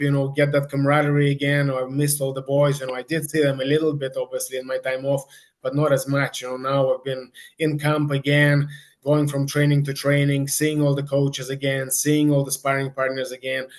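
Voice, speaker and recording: Male fighter in interview, conversational and rambling, mid pitch with little movement. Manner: rambling, repeats "you know". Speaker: professional fighter guest. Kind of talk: casual, conversational interview answer.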